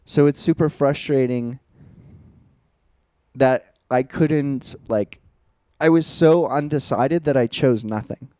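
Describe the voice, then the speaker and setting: A man's voice: mid-range voice, takes it slowly, mellow, no echo. A man in his twenties, co-hosting a casual podcast chat, telling stories in an earnest, reflective way. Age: 20s. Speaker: podcast co-host.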